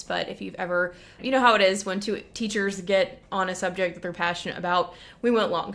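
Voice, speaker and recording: A woman hosting a relaxed conversation for fellow teachers. Her pitch sits in a middle range. She speaks eagerly and casually, often trailing into asides. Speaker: host, English teacher.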